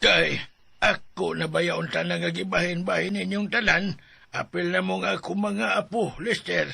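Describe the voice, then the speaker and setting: Gravelly, high-pitched old grandfather. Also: steady tone, moderate pace, pushed and bright, no room sound, heavily acted. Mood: insistent. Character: insistent elderly grandfather quarrelling over family land.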